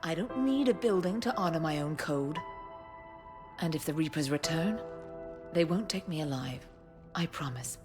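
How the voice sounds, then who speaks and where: An actress playing a grieving, determined young alien woman in a video game cutscene, speaking quietly and earnestly. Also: low-pitched, animated, moderate pace, long pauses, over music. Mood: resolute. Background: orchestral score.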